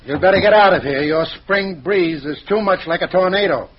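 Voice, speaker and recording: A man's voice: sits high, a little fast, slight background noise, close to an everyday voice. A man playing a grumpy, penny-pinching boss, curt, sarcastic and exasperated, in 1940s radio comedy style. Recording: muffled vintage radio recording.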